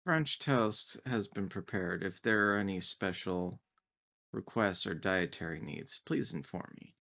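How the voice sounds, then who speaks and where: Polite synthetic crew woman voiced by a man, lowish, flat and deliberate tabletop roleplay. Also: creaky, full character voice. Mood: polite, deadpan. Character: polite, obliging female android crew member.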